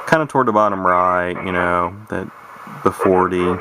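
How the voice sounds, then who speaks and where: A man with a low, gravelly voice talks through a casual hobbyist demonstration. He is folksy and hesitant, rambling without a script. The home recording is clearly noisy, with CB radio static and chatter behind him. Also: moderate pace. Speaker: vintage radio hobbyist presenting a video. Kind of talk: casual unscripted product demonstration. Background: noise and CB radio chatter.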